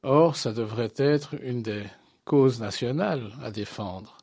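A man answering interview questions as a guest, speaking in a formal, deliberate and articulate way. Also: medium pitch, a little mellow, no echo, clean studio sound. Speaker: interview guest, association president.

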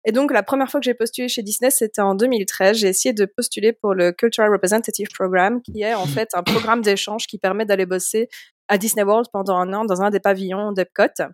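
A woman's voice: medium pitch, fairly quick, dry recording. Belgian woman telling a story, very dry sound. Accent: Belgian French. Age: 30s. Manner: lively storytelling, laughs often. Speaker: podcast co-host. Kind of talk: casual podcast chat.

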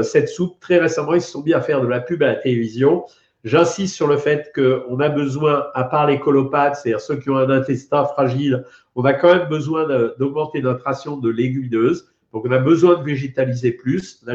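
A man's voice: medium pitch, a little mellow. Middle-aged French man, doctor, stuffy from a cold, hesitant chatty delivery; roomy, dull lo-fi stream sound. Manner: chatty and explanatory, many hesitations. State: has a head cold. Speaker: doctor hosting a weight-loss live stream. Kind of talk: casual live stream talk answering viewer questions.

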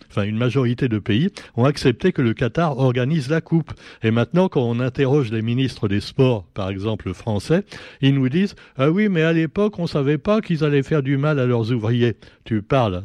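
A man's voice: mid-range voice, darkish tone, no echo. A man giving a joking, sarcastic review of the local press on radio, full of ironic asides.

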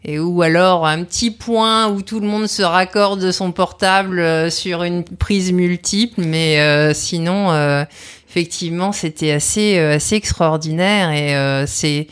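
A woman's voice: lowish voice, unhurried, clear, resonant tone. A woman in a conversational interview, hesitant and often searching for her words.

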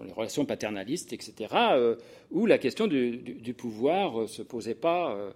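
Man, 60s, from the Paris region. Informal lecturer, mid-pitched voice, hesitant and digressive, often restarting his sentences. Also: sing-song, normal speed, darkish tone, slightly rough. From Paris region, France. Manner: hesitant, digressive delivery with self-interruptions and repeated words. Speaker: sociologist giving a talk to social work professionals. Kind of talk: informal lecture with personal anecdotes.

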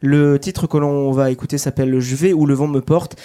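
A man's voice: medium pitch, steady tone, moderate pace. A man hosting a radio show, asking long questions with frequent hesitations and restarts. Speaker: radio host. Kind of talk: radio interview.

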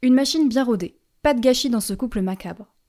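A woman hosting a student radio show about video games, reading a prepared chronicle in a clear, measured way with a touch of drama. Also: a little high, dark tone. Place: radio studio.

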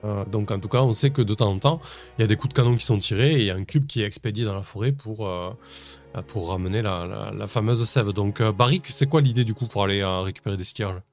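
Male game master, lowish voice, hesitant improvised narration, light music bed. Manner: hesitant, halting delivery with repeated words. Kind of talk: improvised tabletop roleplay narration. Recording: music playing under the voice.

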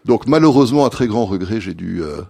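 A man being interviewed as a company chief executive, answering in a measured way with frequent hesitations. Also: lowish voice, animated, creaky, darkish tone, breathy edge, no room sound, clean studio sound. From born in USA, raised partly in Belgium. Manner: measured, many "euh" hesitations.

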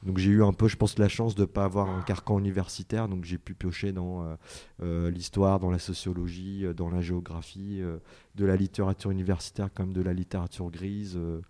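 A man's voice: low voice, flat delivery, a little fast, dark tone. A man, an author and journalist, answering audience questions at a book talk, hesitant with many fillers and restarts. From France.

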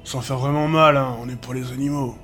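Ageing gang driver played by a man. Gruff, cynical, slangy and grumbling, for an audio drama. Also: mid-range voice, fairly even intonation, fairly quick, fairly bright tone, a little hiss or hum, lightly acted. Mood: blunt, dismissive. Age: older. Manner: blunt, grumbling, casual slangy talk. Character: gruff, cynical older male chain-smoking gang driver.

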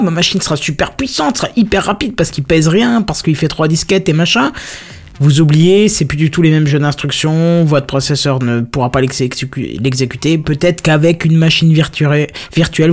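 A man's voice: fairly high pitch, a little mellow. Chatty man hosting a tech show. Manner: chatty, stumbles over words and repeats himself. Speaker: podcast host. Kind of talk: casual tech news chat.

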